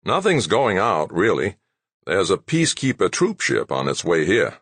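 A man acts out an easygoing male engineer in a novel. He talks casually and matter-of-factly in a raised, slightly husky voice that moves up and down freely. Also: sits low, wildly swooping pitch, moderate pace, fairly bright tone, no room sound, pitched above the performer's own voice, exaggerated voice. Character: lanky, easygoing male practical engineer, mildly sympathetic. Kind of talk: acted audiobook dialogue.

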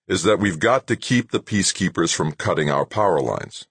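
A man's voice: low voice, very animated, normal speed, slightly rough, no room sound, voice pushed up, heavily acted. A man voicing a determined young leader of a besieged moon base in an audiobook, firm and urgent. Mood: firm, resolute.

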